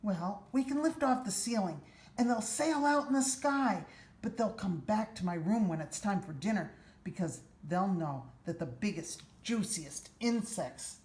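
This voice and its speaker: Storybook boy, played by a woman: young, earnest, dreamy enthusiast, unhurried delivery, roomy sound. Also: medium pitch, animated, a little mellow, voice pushed up, natural voice. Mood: hopeful, dreamy. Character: eager, imaginative young boy who loves nature. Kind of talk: children's storybook read-aloud. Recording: roomy echo, faint background noise.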